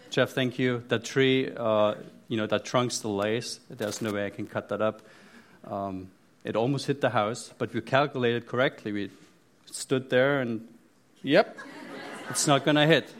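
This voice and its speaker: German-accented man giving a halting sermon. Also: medium pitch, fairly bright tone, slight background noise. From East Germany. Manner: halting, many fillers and restarts, joking asides. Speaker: church speaker.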